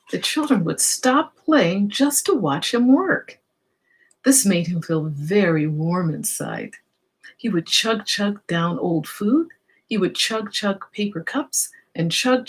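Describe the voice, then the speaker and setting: A woman's voice: fairly low pitch, breathy edge. A woman reading a children's story aloud, expressively, with explanatory asides and questions for young listeners.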